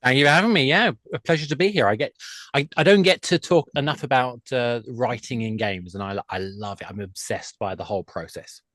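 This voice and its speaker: Man, podcast guest, medium pitch, enthusiastic and emphatic, conversational expert explaining, dry studio-close sound. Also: brisk, a bit bright, no room sound. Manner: enthusiastic, emphatic, restarting to rephrase.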